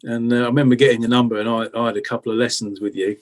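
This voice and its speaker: Middle aged english guy chatting as a guest, looking back casually, bit halting and self effacing. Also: mid-range voice, flat delivery. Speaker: interview guest, blues rock guitarist. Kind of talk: casual conversational reminiscing.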